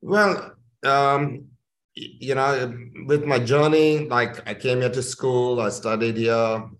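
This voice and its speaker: A man's voice: mid-range voice, steady tone, slow, a bit bright. A man from India speaking conversationally and enthusiastically as a chief executive being interviewed, often repeating phrases for emphasis. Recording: echoey room.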